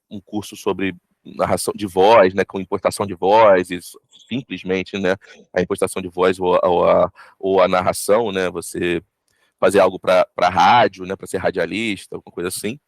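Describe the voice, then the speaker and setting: A man's voice: sits low, steady tone, moderate pace, no echo. A man with a Brazilian Portuguese accent giving casual interview advice, explaining things with emphatic repetition. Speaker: voice actor giving career advice.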